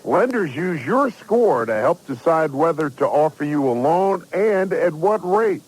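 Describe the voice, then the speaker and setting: A man's voice: fairly high pitch, big pitch swings, measured pace, darkish tone, breathy edge, rough recording. A man reading a scripted radio advertisement as an announcer.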